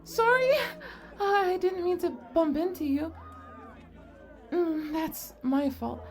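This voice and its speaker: A woman playing a shy, sweet, nerdy young goblin girl in an ASMR romance roleplay, speaking softly and hesitantly with bashful stammers. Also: very high-pitched, animated, takes it slowly, some chatter behind, heavily acted. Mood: flustered, embarrassed.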